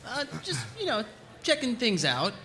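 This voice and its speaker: A man's voice: very high-pitched, huge pitch swings, normal speed, pushed and bright, slight background noise, heavily acted. A man playing a cocky, evasive slacker soldier in a comedic table read, speaking casually and a little defensively.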